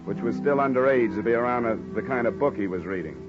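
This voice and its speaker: A man's voice: mid-range voice, fast talker, natural voice. An American man acting a sardonic, hard-boiled insurance investigator in an old radio noir drama, narrating and questioning with dry wisecracks. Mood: sardonic narration. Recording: dull old radio recording, music.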